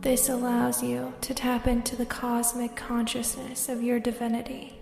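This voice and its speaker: A woman leading a guided meditation, speaking slowly and soothingly, sometimes dropping to a whisper. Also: a little high, monotone, creaky. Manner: slow, soft and soothing, drifting into whispers. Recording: roomy echo with long reverb and soft music.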